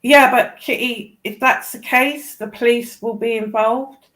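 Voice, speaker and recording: A British woman with a slightly high voice, talking casually. She is blunt and emphatic, and swears freely as she makes her point. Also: steady tone, measured pace, a bit bright. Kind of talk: casual chat.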